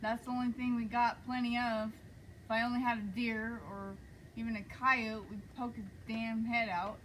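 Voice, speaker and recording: A woman's voice: fairly high pitch, level tone, moderate pace, a bit bright, a little hiss or hum, lightly acted, low-quality recording. A woman reading a hungry, worn-out female gunslinger, weary and griping.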